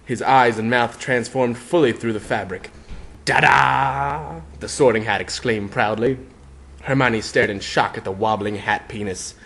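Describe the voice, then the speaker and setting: Low-voiced man reading a story dramatically. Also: steady tone, moderate pace, fairly bright tone. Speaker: narrator, reader. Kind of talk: mock-dramatic fan fiction reading.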